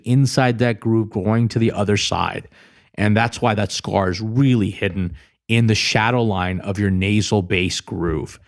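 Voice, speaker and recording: An American man speaking as a doctor and podcast host, explaining in a conversational, emphatic way and addressing the listener directly. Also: fairly low pitch. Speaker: plastic surgeon hosting a podcast. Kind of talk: conversational patient-education explainer.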